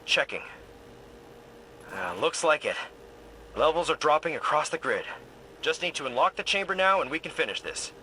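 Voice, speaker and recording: An actor playing a dutiful, protective veteran soldier in a video game, talking tersely and with determination. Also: male voice, a little high, sing-song, moderate pace, pauses often, bright, forceful tone, slight background noise, rough recording. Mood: relieved.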